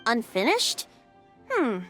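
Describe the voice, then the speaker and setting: A woman's voice: on the high side, huge pitch swings, slow pace, fairly bright tone, faint music behind, heavily acted. A woman voicing a playful, boyish wind god and wandering bard in a video game, with a teasing, theatrical lilt. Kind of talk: video game character acting.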